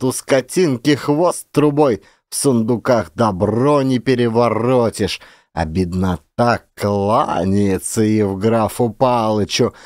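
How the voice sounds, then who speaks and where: A man's voice: mid-range voice, a little mellow, no echo, studio quality. A man voicing a rude, spiteful middle-aged village drunkard, taunting with brazen mock respect, in an audiobook.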